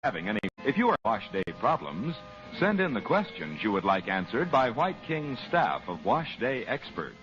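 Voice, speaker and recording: Animated vintage male radio announcer. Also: mid-range voice, moderate pace, muffled recording. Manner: polished, emphatic sales delivery. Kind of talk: 1940s radio advertising read. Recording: band-limited old radio broadcast with music. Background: music.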